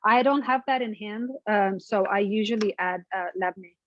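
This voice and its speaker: A woman's voice: medium pitch, normal speed, dull audio. A young woman with an Afghan accent, chatting hesitantly and laughing as she walks through cooking a family dish. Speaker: guest cook. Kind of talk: casual cooking demonstration.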